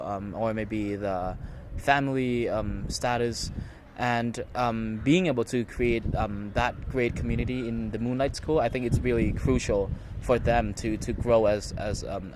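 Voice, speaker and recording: Low-voiced hesitant young man, noisy outdoors. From Thailand. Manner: hesitant, thoughtful, halting. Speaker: student interviewee. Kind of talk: casual interview answer. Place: outdoors in a city. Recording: noisy outdoor street ambience.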